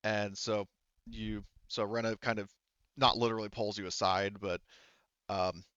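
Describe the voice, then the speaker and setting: A man narrating a tabletop roleplaying game as game master in a halting, conversational way, often relaying what characters say. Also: lowish voice, little pitch movement, fairly bright tone, clear, resonant tone, no echo, dull audio.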